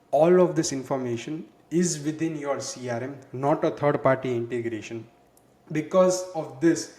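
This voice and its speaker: A man presenting a software walkthrough in a conversational, explanatory way, leaning on filler words. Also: mid-range voice. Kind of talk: walkthrough demo narration. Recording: echoey, roomy sound.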